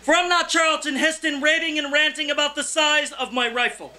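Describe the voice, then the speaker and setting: A young man, a poet, reading his verse aloud live in a loud, theatrical, impassioned delivery. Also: very high voice, steady tone, brisk. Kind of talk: live poetry reading, declaimed. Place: indoor cafe reading before a small audience.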